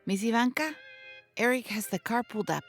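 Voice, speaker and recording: A woman's voice: fairly high pitch, lively intonation, fairly quick, over music. A woman playing a deferential, drily matter-of-fact household maid in a soap opera parody audio drama. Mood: deferential, dry.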